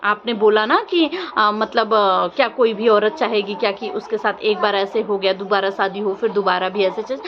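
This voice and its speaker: A woman's voice: mid-range voice, steady tone, moderate pace, hardly any pauses. A young woman gives casual opinion commentary to viewers, insistent and questioning, often repeating her phrases. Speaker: vlogger. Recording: muffled lo-fi audio, faint background noise.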